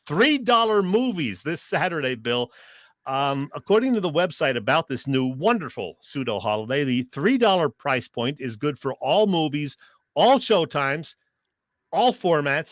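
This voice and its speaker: A man's voice: a little high, fairly bright tone, no echo. Casual male sports radio co-host, dry sound. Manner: conversational, joking.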